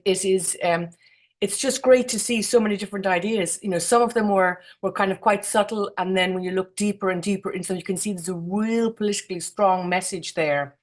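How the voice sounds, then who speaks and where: A woman with an Irish accent giving informal, effusive remarks as a jury member, often repeating words as she goes. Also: fairly low pitch. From Ireland. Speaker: competition jury member, architect. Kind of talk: informal remarks at an online awards gathering.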